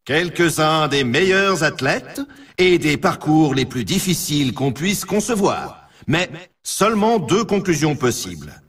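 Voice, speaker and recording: A man's voice: on the high side, very animated, measured pace, a bit bright, husky, distant mic, exaggerated voice. A man playing a grandiose, emphatic announcer for an extreme snowboarding championship in a video game. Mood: grandiose, solemn. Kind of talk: scripted dramatic sports game announcing.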